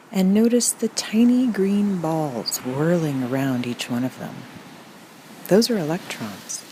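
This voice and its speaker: Woman, low pitch, unhurried pace, calm deadpan meditation narrator, soothing delivery, faint background noise. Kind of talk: parody guided meditation, scripted narration.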